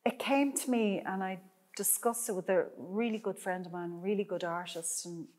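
A woman's voice: medium pitch, moderate pace, a little mellow. A middle-aged woman, a painter, chatting in a gallery, rambling with many restarts and fillers. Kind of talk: casual conversation.

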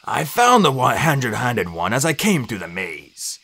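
A man voicing a gentle old inventor in a storybook, speaking in a measured, formal, remorseful manner. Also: mid-range voice, huge pitch swings, gravelly, slightly rough, full character voice. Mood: explaining.

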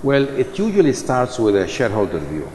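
A man speaking on a business conference panel, measured and hesitant with many fillers. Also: mid-range voice, wildly swooping pitch, darkish tone, big-room sound, a little hiss or hum, low-quality recording. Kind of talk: panel discussion. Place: large conference hall stage.

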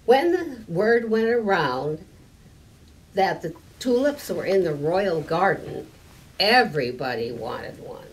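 An elderly woman reads a picture book aloud to children in a deliberate, slightly halting manner, adding small explanations. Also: fairly low pitch, sing-song. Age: 70s or older. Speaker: story time reader. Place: library room with stone fireplace, indoors. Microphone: camera a few meters away. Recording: distant mic, room noise.

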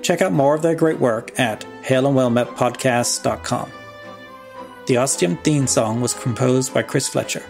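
A man's voice: mid-range voice, moderate pace, vocal fry, darkish tone. A man hosting a podcast, reading a scripted show promo and credits. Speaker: podcast host. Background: music.

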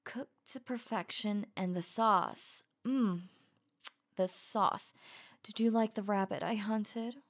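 Slow, sultry werewolf huntress acted by a woman. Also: mid-range voice, steady tone. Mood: savouring, flirtatious. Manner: slow, breathy, intimate, often dropping to whispers. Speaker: audio roleplay voice actor. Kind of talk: ASMR roleplay.

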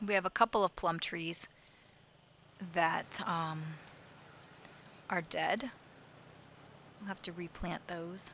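A woman's voice: on the low side, steady tone, slow pace, pauses often, a bit bright, a little hiss or hum. A woman casually commenting as she plays a life-simulation game, thinking aloud and giving her characters directions. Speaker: let's play host.

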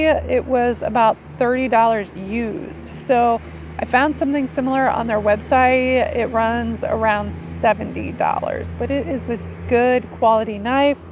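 Woman in her forties, fairly high voice, casual chatty explainer, slightly muffled outdoor recording, faint background noise. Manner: informal, conversational, often seeking agreement with "right". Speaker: foraging teacher presenting knives. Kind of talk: casual explainer talk to camera. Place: outdoors under a tree in a leafy yard. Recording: dull sound with outdoor ambient noise.

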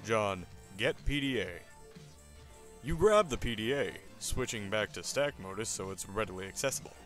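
A man's voice: lowish voice, lively intonation, moderate pace, fairly bright tone, faint music behind. A man playing a wry, instructive narrator in a web comic dub, reading scripted game commands and narration. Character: wry second-person narrator issuing adventure game commands.

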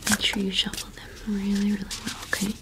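A young woman playing a kind, welcoming cottage witch in a whispered ASMR roleplay, speaking softly and reassuringly without hurry. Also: mid-range voice, monotone, close to an everyday voice. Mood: kindly, welcoming. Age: young adult. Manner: soft, unhurried, gently reassuring. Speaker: ASMR performer.

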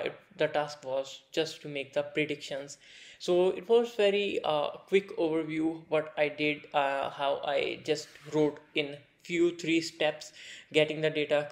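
A young man from India, a native Hindi speaker with an Indian accent, explaining a coding project over a screen recording with frequent fillers. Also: fairly high pitch. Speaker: programming tutor.

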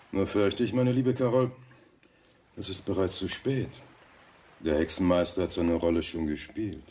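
Radio play role: wealthy middle-aged manipulator, very low urbane voice, condescending, faint noise behind. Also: male voice, a little mellow. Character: rich, suave, scheming older rival and ex-husband. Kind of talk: radio drama acting.

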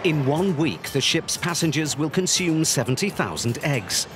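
A man's voice: fairly high pitch, animated, normal speed. A man delivering scripted narration for a documentary. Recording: music under the voice. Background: background music.

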